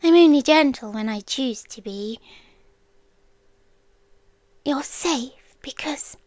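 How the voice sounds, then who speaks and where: A British woman playing an ancient, possessive, inhuman stalker in an intimate ASMR roleplay, speaking in a hushed, deliberate, teasing way that slips into whispers. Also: high-pitched, expressive, dry recording. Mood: soothing, menacing.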